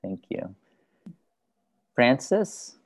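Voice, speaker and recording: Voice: mid-range voice, big pitch swings, drawn-out words. A host patiently guiding people through introductions on a video call in a casual, instructive way. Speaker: host of an online group call.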